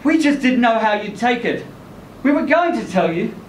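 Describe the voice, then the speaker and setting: A woman's voice: on the high side, sing-song, normal speed, roomy sound, a little hiss or hum, pitched above the performer's own voice, rough recording. A man voicing a retired grandmother, a frank old flame, in a story read aloud. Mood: gentle, confessing.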